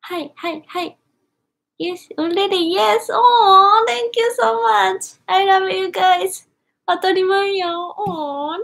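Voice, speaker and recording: High, slow-talking Japanese woman streaming. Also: creaky, a bit bright. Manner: cheerful, reads viewer comments aloud and reacts with exclamations. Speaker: YouTuber. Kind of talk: live stream chat reading comments. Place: small indoor room with wooden cabinets.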